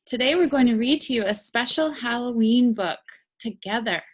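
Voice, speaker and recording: A woman reading a storybook to children in a deliberate, expressive storytelling manner. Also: a little high. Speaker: read-aloud storyteller. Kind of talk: children's storybook read-aloud.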